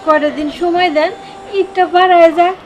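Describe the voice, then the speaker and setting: A woman's voice: extremely high pitch, steady tone, fairly quick, slight background noise. Woman playing a tearful pleading wife. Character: poor tearful wife and mother. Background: background music.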